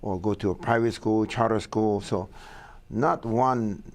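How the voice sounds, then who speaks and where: A man from Hawaii, talking in a casual studio chat and pausing often on "uh" in a plain, pidgin-tinged way. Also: on the low side. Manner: halting, many "uh" fillers, plain pidgin-tinged phrasing. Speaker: foundation founder, co-host.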